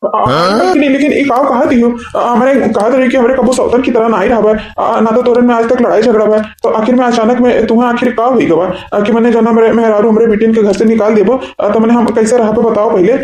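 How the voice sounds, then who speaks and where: Henpecked cartoon husband , male performer, high flat voice, pleading repetitive delivery, eastern Uttar Pradesh accent. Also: fast talker, hardly any pauses. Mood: pleading, cowed. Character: henpecked, helpless village husband caught between two wives. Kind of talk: village family comedy cartoon dub. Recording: echoey room sound.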